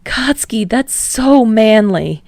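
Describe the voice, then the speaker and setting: Lady doing an excitable sweetheart guy in an audiobook, pitched up, eager and emotive. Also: takes it slowly, no room sound. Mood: delighted, eager. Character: cheerful, affectionate, excitable man, devoted fiancé. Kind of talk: acted dialogue in fan fiction audiobook.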